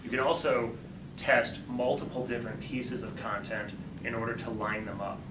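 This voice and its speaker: A man's voice: mid-range voice, level tone. Man explaining his startup in an echoey room. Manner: explanatory, conversational, quick self-corrections. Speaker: startup founder pitching. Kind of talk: pitch presentation and audience Q&A. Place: event room. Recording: echoey, distant, noisy room.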